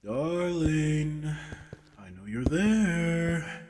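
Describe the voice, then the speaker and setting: A man playing a cocky, possessive vampire master in an immersive roleplay audio drama, teasing and condescending, with casual swearing and a husky voice. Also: fairly high pitch, expressive, drawn-out words. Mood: taunting, menacing. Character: cocky, possessive male vampire master who charms his prey.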